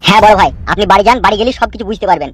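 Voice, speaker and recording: Guy doing a bootlicking gangster sidekick in a cartoon, really fast, crude and gossipy. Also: sits high, animated, husky. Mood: fawning. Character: fawning, excitable male gangster henchman. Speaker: cartoon voice actor. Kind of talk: amateur comedy cartoon dialogue.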